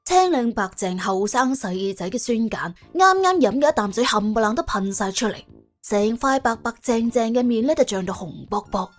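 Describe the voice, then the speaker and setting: Higher-pitched woman narrating a story. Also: expressive, moderate pace. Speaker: audiobook narrator. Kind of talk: dramatised, colloquial comic crime storytelling. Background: soft background music.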